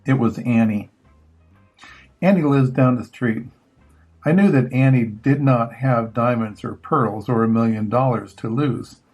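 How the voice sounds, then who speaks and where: Older male storybook reader, husky, unhurried, measured phrasing for young children. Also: medium pitch, darkish tone, highs cut off, faint music behind. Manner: measured, pausing between short phrases. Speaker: grandfather reading picture books aloud. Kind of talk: children's storybook read-aloud.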